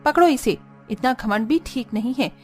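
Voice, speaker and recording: A woman's voice: on the high side, expressive, moderate pace, darkish tone, faint music behind, lightly acted. A woman voicing a proud, outspoken poor young village woman in a cartoon story. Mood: indignant. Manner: indignant, blunt, scolding.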